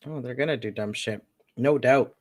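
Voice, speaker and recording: A woman's voice: a bass voice, normal speed. A woman co-hosting a casual podcast chat, blunt and profane with sarcastic asides. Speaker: co-host.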